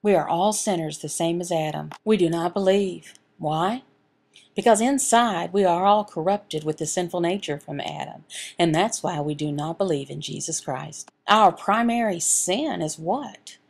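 Creaky-voiced woman voicing a sermon. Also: lowish voice, normal speed. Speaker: voice interpreter reading a sermon. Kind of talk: sermon voiced over sign language, with scripture reading.

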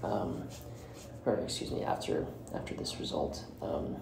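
A man hosting a solo podcast, giving commentary in a halting way and often breaking off mid-phrase. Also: low voice. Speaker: podcast host. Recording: roomy echo with clear background noise.

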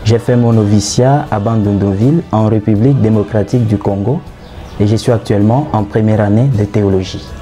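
A young seminarian from Chad introducing himself in a calm, deliberate way. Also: male voice, fairly low pitch.

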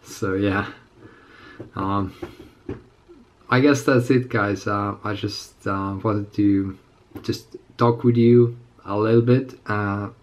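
A man in his twenties with a slightly raspy, under-the-weather voice, chatting casually to camera as a fitness vlogger in a halting, rambling way. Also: on the low side, fairly even intonation, dull audio. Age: 20s. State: slightly sick. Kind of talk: casual unscripted chat to camera. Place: small indoor room with plain walls.

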